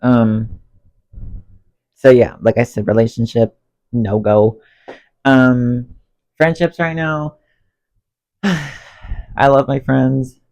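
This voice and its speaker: A man's voice: medium pitch, drawn-out words, creaky, long pauses. A man vlogging a rambling, emotional rant to camera while cleaning his bedroom, congested and losing his voice. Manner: rambling, emotional, self-talk, expressive swings. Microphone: phone on stand under ring light.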